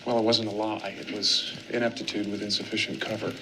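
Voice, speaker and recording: An actor playing a suave, confident male ad executive with a deep, smooth, assured voice in a TV drama. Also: flat delivery, a little fast, background noise. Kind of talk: 1960s TV drama acting.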